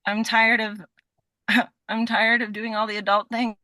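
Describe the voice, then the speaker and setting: A woman's voice: fairly high pitch, a little slow, dry recording. A woman talking casually as an interview guest, telling stories in a chatty, giggly way. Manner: chatty, animated storytelling with quoted asides; giggly, breaks into laughter. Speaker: podcast guest.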